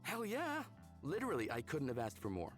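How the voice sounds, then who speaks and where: An earnest, lively young spaceman. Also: a little high, a little fast. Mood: happy, eager. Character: upbeat, smitten young man aboard a passenger spaceship. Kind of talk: visual novel game voice acting. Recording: background music under the voice.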